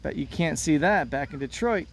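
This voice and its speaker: A man reading the part of a friendly, enthusiastic young girl in a children's play, in a bright, encouraging manner. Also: a little high, expressive, normal speed. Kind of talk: read-aloud of a children's play. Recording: noticeable background noise.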